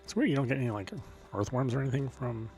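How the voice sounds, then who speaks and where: A man's voice: medium pitch, quick pace, darkish tone. A man giving casual let's play commentary, rambling and musing aloud with a wry touch. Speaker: gaming streamer. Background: game music.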